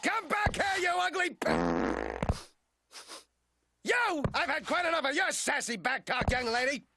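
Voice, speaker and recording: A man's voice: high voice, sing-song, moderate pace, music in the background. A man voicing a whining, explosive cartoon duck, a detective and father given to constant griping. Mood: enraged. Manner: whining, belly-aching, explosive complaining. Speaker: private detective. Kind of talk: animated comedy acting.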